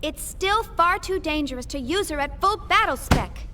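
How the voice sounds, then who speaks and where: A woman's voice: very high voice, animated, normal speed, noisy background. An actress playing a headstrong young woman scientist in a video game, assertive and articulate. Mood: indignant, insistent. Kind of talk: English-dubbed anime-style game acting.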